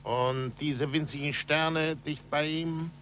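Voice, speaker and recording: An actor playing an impassioned, urgent astronomer in a 1940s radio play, speaking emphatically. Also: male voice, a little high, fairly even intonation, slow, a little hiss or hum. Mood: hushed, wondering. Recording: husky-edged, bright 1940s radio recording.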